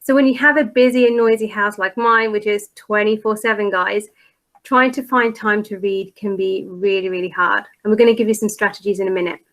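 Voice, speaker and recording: A woman's voice: medium pitch, fairly even intonation, brisk, a bit bright. A middle-aged woman presenting a parent workshop in a conversational, informal way, relatable and reassuring.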